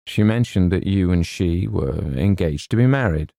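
A man voicing a flustered young English gentleman in an audiobook, polite but spluttering and quick to protest. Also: low voice, expressive, creaky, no echo, studio quality. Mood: awkward, polite. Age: young adult. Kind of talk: theatrical audiobook character voice.

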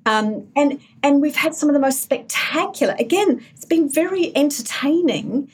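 A woman from New Zealand, speaking as a guest on a political talk panel. She is animated and wry, and often restarts her sentences in loose, casual chat. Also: high-pitched, moderate pace.

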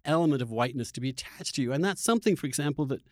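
A man hosting a conversational interview, halting and repeating words as he works through an argument. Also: mid-range voice, animated, no room sound, clean studio sound. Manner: halting, repeats words while arguing a point. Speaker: podcast host.

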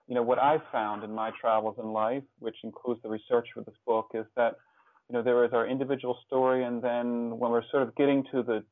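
A man being interviewed as a guest, answering reflectively in a halting, thoughtful way with pauses and repeated words. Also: medium pitch, no echo, narrow, tinny audio. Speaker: interview guest, author and hospice volunteer.

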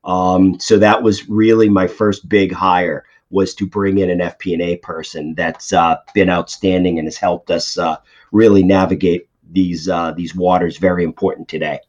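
Middle-aged Boston man, interview guest, low-pitched, loose chatty delivery full of fillers, muffled lo-fi audio. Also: flat delivery, normal speed, a little mellow. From Boston area. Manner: conversational, many fillers and restarts. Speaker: CFO guest. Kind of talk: podcast interview answers. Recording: dull lo-fi audio.

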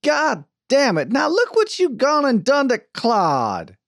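A man playing a brash, loud, swooping cowgirl boss. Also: on the high side, very animated, moderate pace, dry recording, clean studio sound, pitched above the performer's own voice. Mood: furious. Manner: drawling frontier slang, profane, bossy. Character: brash, foul-mouthed cowgirl wagon boss, hot-tempered but soft-hearted.

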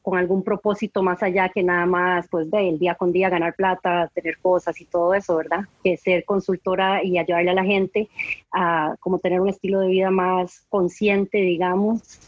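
A woman's voice: fairly low pitch, level tone, moderate pace, muffled recording. A woman in her forties with a Costa Rican accent, a guest in a casual interview, talking in a chatty way and often ending sentences with a questioning "right?". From Costa Rica. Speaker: guest, home organizing consultant.

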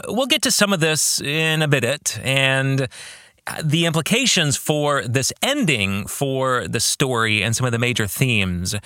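A man in his forties hosting a podcast in a scripted monologue, with a deliberate, emphatic presenter's delivery. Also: medium pitch, bright, forceful tone, no room sound, clean studio sound. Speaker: podcast host and author.

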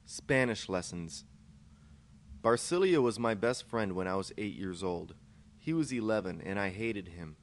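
A man's voice: fairly low pitch, lively intonation, measured pace. A man reading his own first-person short story aloud as scripted narration. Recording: clear background noise, amateur podcast recording.

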